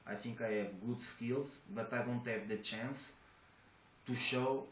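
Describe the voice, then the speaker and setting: A man's voice: medium pitch, steady tone, unhurried, fairly bright tone. Portuguese-accented young man, echoey room. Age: young adult. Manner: hesitant, many restarts and fillers. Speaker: job applicant. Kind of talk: interview answers. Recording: echoey room with faint noise.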